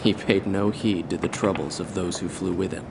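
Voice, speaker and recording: A man playing a weary, reflective veteran mercenary pilot in a video game, recalling the past in a measured, wistful interview monologue. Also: sits low, fairly even intonation, creaky, noisy background. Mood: quiet admiration. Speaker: former mercenary fighter pilot being interviewed.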